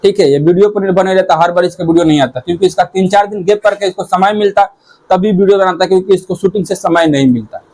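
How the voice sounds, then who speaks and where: High-pitched man commenting. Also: fast, darkish tone, poor audio. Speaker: YouTube commentator. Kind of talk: informal commentary to viewers.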